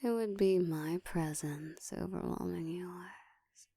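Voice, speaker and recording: A woman playing a seductive, domineering ancient vampire in an intimate ASMR roleplay, speaking in a slow, breathy whisper with a teasing, commanding manner, close to the mic. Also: on the low side, warm tone, no echo, exaggerated voice. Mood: dark, domineering. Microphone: close microphone.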